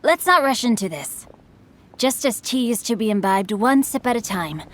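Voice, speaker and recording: A woman's voice: a little high, lively intonation, normal speed, lightly acted. A woman voicing a refined, bookish teenage boy in a video game, flowery, composed and articulate, with faint noise behind. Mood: composed, playful. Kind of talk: video game dialogue acting.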